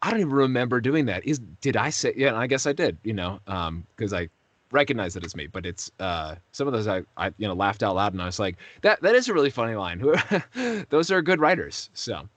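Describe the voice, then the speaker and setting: A man in a casual interview as a voice actor, rambling and self-deprecating, pausing to search for words. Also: lowish voice, big pitch swings, a bit bright, no room sound.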